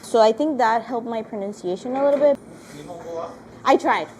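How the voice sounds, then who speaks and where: A woman's voice: fairly high pitch, normal speed, a little mellow, slight background noise, low-quality recording. A woman from Venezuela who works as a server at a ramen restaurant, chatting casually and friendly in an interview. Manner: friendly, chatty, many likes.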